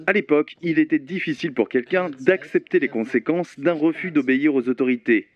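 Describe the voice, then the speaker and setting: An actor playing a rigid, self-justifying former official on trial, giving measured, formal testimony in a radio drama. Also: male voice, a little high, natural voice. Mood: stiff. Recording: dull lo-fi radio sound.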